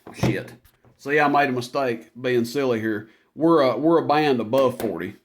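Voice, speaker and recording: A man's voice: mid-range voice, normal speed. A man giving a casual product demonstration as a seller, talking informally and rambling through each step as he goes.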